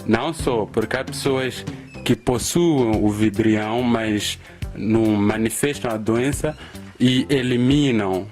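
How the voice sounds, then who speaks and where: A man's voice: mid-range voice, slow pace, a little mellow. A man giving a spontaneous explanation as an interviewee. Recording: faint music behind.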